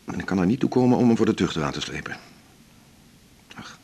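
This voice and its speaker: An actor playing a reflective, principled male doctor in a naturalistic radio drama, speaking in a measured, world-weary way. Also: low voice, animated, a little mellow, breathy edge, full character voice. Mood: resigned, sympathetic.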